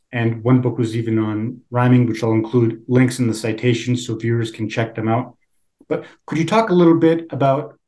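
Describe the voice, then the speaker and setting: A man's voice: medium pitch, darkish tone. A man hosting an interview, asking formal, carefully worded questions.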